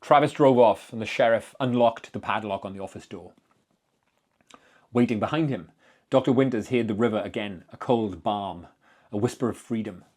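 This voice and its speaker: Expressive man narrating a dark story. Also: on the low side, normal speed. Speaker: podcast host reading a horror story. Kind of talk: dramatic scripted narration.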